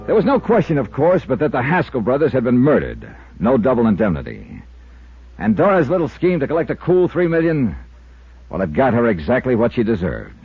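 A man's voice: mid-range voice, wildly swooping pitch, fairly quick, breathy edge, no echo, full character voice. An American man playing a shrewd, wry insurance investigator in a 1950s radio drama, narrating and talking in clipped, hard-boiled phrases. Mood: wry, grim. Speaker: star of a radio detective series. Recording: muffled vintage 1950s radio recording, music behind.

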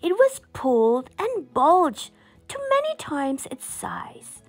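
Woman reading a storybook, very lively pitch. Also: slow pace, soft background music. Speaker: storyteller reading a picture book aloud. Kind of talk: expressive children's storybook read-aloud.

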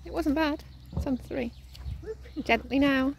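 A woman's voice: sits high, measured pace, creaky, slight background noise. A woman coaching a child on a pony outdoors, upbeat and full of praise. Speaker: parent coaching a child rider. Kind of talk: casual encouraging instruction. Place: outdoors at a rural pony paddock and lane.

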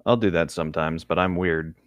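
A man's voice: deep voice, fairly even intonation, fairly quick, dry recording, clean studio sound. A man co-hosting a read-along show, switching between casual chat and reading aloud. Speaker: co-host of a group read-along commentary show.

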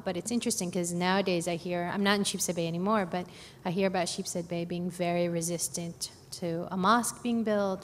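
A woman from Brooklyn recounting her life in an oral history interview, hesitant with many pauses and fillers. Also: fairly low pitch. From Brooklyn, New York. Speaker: oral history narrator on a panel. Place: conference hall. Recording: echoey hall, faint background noise.

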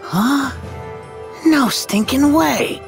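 An actor playing a lazy, cowardly young man in a video game, with a whiny drawl that jumps into sudden excitement. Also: very high voice, very slow, creaky, soft background music, full character voice.

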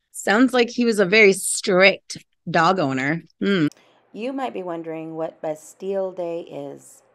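A woman's voice: lowish voice, creaky. A middle-aged woman, a teacher reading a picture book aloud with chatty, friendly asides to young listeners. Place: indoors in a classroom.

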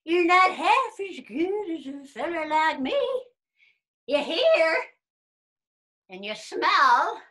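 A woman's voice: very high-pitched, sing-song, slow, pauses often, sharp tone, voice pushed up, exaggerated voice. A woman voicing a small, cocky old male worm in a storybook, with a folksy, lecturing manner. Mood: cocky scolding. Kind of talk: animated storybook reading.

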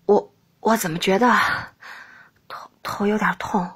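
A man's voice: high-pitched, steady tone, a little slow, breathy edge, voice pushed down. A woman playing a teasing, nonchalant young prince in an audiobook. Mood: dazed. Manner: nonchalant, slurred when drunk. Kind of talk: audiobook character voice.

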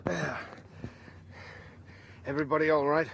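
An actor playing a brisk, commanding spaceship captain in a 1980s radio drama, with an authoritative, clipped manner. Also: male voice, a little high, little pitch movement, creaky, fairly bright tone, a little hiss or hum. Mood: subdued relief.

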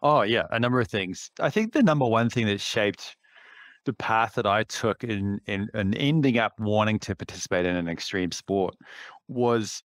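A man's voice: on the low side, moderate pace, fairly bright tone, no echo. A man in his fifties with a New Zealand accent, a podcast guest talking reflectively over a video call from an office, often restarting his phrases. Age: 50s. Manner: reflective, with light laughs and frequent restarts. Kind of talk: casual interview chat. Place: indoor office. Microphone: headset on a video call.

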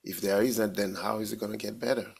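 A man speaking earnestly on a group video call, pausing with fillers. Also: fairly low pitch, flat delivery, vocal fry, a little mellow, husky. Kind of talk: casual group discussion.

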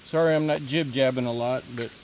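A middle-aged man narrating casually to a camera outdoors in the woods, in an unhurried, folksy way. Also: medium pitch, a little mellow, a little hiss or hum, rough recording. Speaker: outdoor vlogger. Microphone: camcorder a few feet away.

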